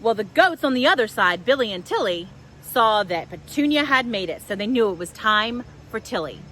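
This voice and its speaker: A woman telling stories to young children outdoors in a park, with expressive, simple phrasing. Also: fairly high pitch, moderate pace. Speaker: children's storyteller, host. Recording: outdoors with faint wind noise.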